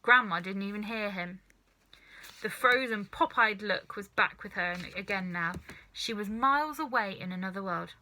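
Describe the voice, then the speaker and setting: A woman narrating a children's story as a reader, in an animated storytelling style. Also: medium pitch, moderate pace, low-quality recording.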